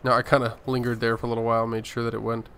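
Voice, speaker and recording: Gravelly American man doing game commentary. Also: mid-range voice, monotone, very fast, a bit bright, a little hiss or hum. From Arizona, United States. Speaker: gaming video host. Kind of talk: casual gameplay commentary. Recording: voice-over with faint game music.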